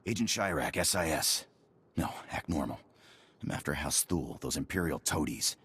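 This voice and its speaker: An actor playing a paranoid, furtive male spy in a video game, with a gravelly, hushed, clipped delivery. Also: very deep voice, animated, normal speed, heavily acted. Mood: secretive, urgent. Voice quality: very gravelly, raspy.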